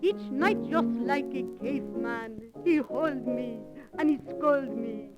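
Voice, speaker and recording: Flirty French lady-in-waiting, high voice, slow delivery, 1930s radio comedy disc. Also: loud music behind the voice, low-quality recording. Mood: playful, flirty. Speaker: comedy sketch performer and singer.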